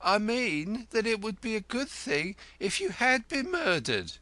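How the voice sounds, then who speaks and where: Guy doing a shrill nagging landlady, high and loud, swings all over. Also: big pitch swings, unhurried, dry recording, heavily acted. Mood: scolding. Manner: sharp-tongued, shrill, scolding. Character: shrill scolding middle-aged landlady.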